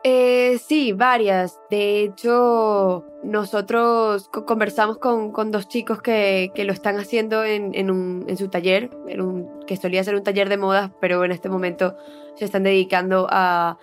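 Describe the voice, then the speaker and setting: Female reporter, Venezuelan accent, mid-pitched, unscripted and halting conversational delivery, light music bed. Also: level tone.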